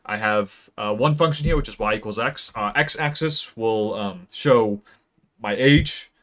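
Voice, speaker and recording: Young man, late teens, deadpan mock-math rambler, hesitant, close peaking mic. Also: lowish voice, unhurried. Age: young adult. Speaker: video creator. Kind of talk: mock-serious graph explainer. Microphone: close mic that peaks.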